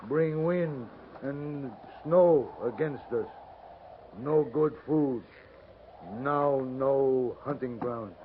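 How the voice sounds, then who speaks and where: Slow, grave chief in halting English. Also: male voice, medium pitch. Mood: solemn, aggrieved. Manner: halting, broken stage English, solemn. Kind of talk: 1950s radio acting. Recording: muffled, noisy 1950s radio transcription.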